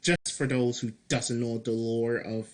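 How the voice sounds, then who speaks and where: Male indie game developer, slow and halting, mid-pitched, casual screen commentary with mild swearing. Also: level tone. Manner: halting, repeats and restarts phrases. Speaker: game developer making a devlog. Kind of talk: casual screen-recorded commentary, reading and answering feedback.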